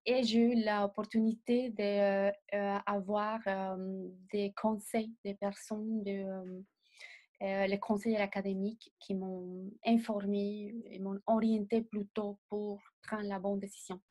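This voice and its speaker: A young woman, not a native speaker of the language, answering interview questions as a designer in a measured way and often pausing to find words. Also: mid-range voice, flat delivery, dark tone. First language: not French. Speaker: designer guest.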